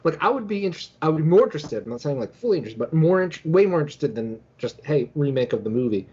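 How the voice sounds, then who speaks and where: A man's voice: a little high, very animated, fast talker, warm tone, breathy edge. A man co-hosting a casual podcast discussion, talking in a rambling, informal way with lots of filler phrases. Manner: rambling, informal, hedging with "like" and "you know". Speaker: podcast co-host. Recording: dull, lo-fi audio.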